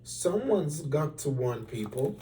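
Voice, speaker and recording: A man's voice: fairly high pitch, very animated, slow, warm tone, recorded from a distance, slight background noise, rough recording. A man voicing an earnest, worried young errand boy in a storybook, urgent and calling out.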